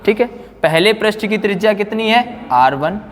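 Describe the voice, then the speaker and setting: A man's voice: sits high, lively intonation, moderate pace, a bit bright. A man teaching physics in a didactic way, often asking questions and answering them himself. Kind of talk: classroom lecture, explaining formulas. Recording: echoey hall-like reverb.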